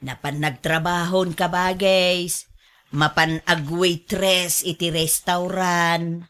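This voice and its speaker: A woman playing a fretful, scolding but caring older mother and grandmother in a radio drama, with drawn-out exclamations. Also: lowish voice, flat delivery, fairly bright tone, clear, resonant tone. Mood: gossiping, regretful.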